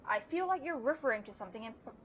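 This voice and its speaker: A woman's voice: a little high, animated, rapid-fire, fairly bright tone, slight background noise. A young woman live-streaming a game with casual, playful commentary and wry asides, sometimes reading the game's text aloud. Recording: dull lo-fi mic, game music behind.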